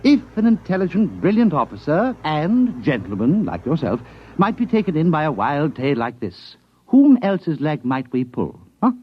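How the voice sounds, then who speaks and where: An actor playing a wry, quick-witted captain in the British army, teasing and persuasive, in a 1950s radio drama. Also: male voice, on the high side, very animated, slightly rough. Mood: playful, scheming. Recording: dull vintage radio broadcast.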